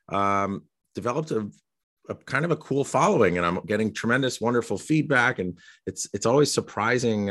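Low-voiced podcast host, curious and eager. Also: male voice, a bit bright. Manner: curious, enthusiastic, halting with fillers. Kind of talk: casual conversational interview.

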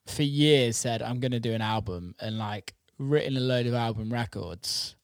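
A man's voice: medium pitch, moderate pace, dry recording, studio quality. A man chatting casually as a music producer, informal and sweary, peppering his talk with "like". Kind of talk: casual chat.

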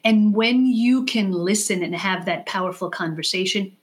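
A woman with a medium-pitched voice speaks as an expert guest in an interview. She lays out advice deliberately, stacking points in lists and stressing key words. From born in the United States, raised in Israel from age six. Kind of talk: podcast interview, explanatory advice.

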